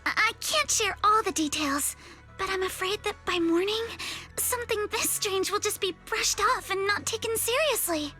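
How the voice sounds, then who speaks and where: A woman's voice: extremely high pitch, normal speed, bright, forceful tone, faint music behind. A woman playing a polite, formal young heiress with careful, proper phrasing in an anime-style game. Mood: earnest, worried.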